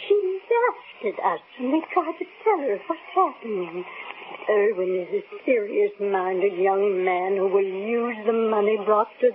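A woman acting the earnest, slightly batty old wife in a 1950s radio mystery, slowly backing up her husband over a tinny broadcast. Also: medium pitch, natural voice. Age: older. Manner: slow, earnest, insistent repetition. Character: devoted elderly wife, gently dotty true believer. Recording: thin old radio broadcast, faint noise.

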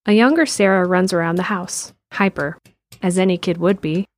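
A woman narrating an audio drama in a calm, steady read. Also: fairly low pitch, moderate pace.

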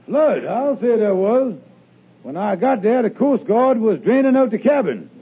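Husky, high-voiced scheming husband. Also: male voice, very animated, unhurried, exaggerated voice. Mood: wisecracking. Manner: folksy dialect, wisecracking. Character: scheming, smooth-talking husband, a fast-talking schemer. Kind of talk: 1950s radio comedy acting. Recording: tinny old radio recording.

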